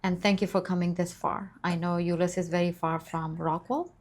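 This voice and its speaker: A middle-aged woman from Nepal speaks in a low voice that stays flat and level. She tells her story in an earnest, grateful, measured way, like a guest in an interview. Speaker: nurse practitioner guest. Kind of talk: interview answers, life story.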